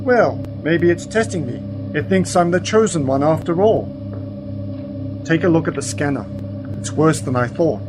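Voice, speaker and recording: A principled, commanding time traveller played by a man in a science fiction radio drama. His voice sits a little high and he explains things with dramatic resolve, music playing behind him. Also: animated, moderate pace, close to an everyday voice, low-quality recording. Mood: resolute.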